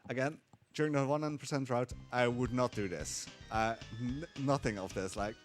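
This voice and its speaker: A man calmly explaining tricks while playing a game live, with frequent fillers. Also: medium pitch, normal speed, faint music behind. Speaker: speedrunner. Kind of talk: live speedrun commentary.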